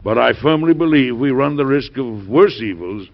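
An actor playing a genial, high-minded American president in an old-time radio drama, with a measured, oratorical delivery and an American accent. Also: male voice, lively intonation, close to an everyday voice. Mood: earnest. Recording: dull, band-limited old radio recording.

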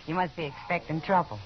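A woman's voice: deep voice, fairly even intonation, normal speed, natural voice. An actress playing a worldly, familiar saloon woman in a casual manner, in a 1950s radio western. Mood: familiar, curious. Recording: dull 1950s radio recording.

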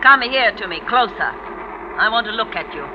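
An actress playing a brisk, tight-fisted middle-aged French widow and mother in an old radio drama. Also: mid-range voice, animated, close to an everyday voice. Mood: bossy. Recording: dull vintage radio recording with background noise.

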